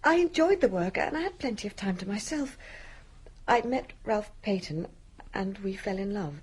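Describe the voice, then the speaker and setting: An actress playing a genteel young wife who has posed as a parlour maid, earnest and polite in a radio drama. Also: female voice, medium pitch, animated, normal speed, darkish tone, slightly rough. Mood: earnest, confiding. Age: young adult.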